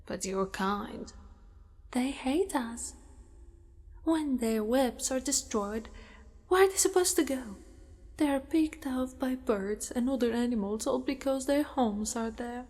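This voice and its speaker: Woman playing a slow, echoing, possessive spider-monster woman. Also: fairly high pitch, a little mellow. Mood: tender, wistful. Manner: slow, deliberate, sinister coaxing. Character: possessive yandere spider-monster woman, menacing yet doting predator. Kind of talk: ASMR roleplay, often whispered. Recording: long reverberant cave-like echo.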